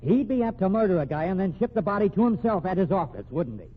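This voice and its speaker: An actor playing a tough, pushy American police detective in a radio crime drama, brusque, slangy and needling. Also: male voice, high-pitched, very animated, quick pace. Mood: skeptical. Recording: tinny, narrow old radio recording.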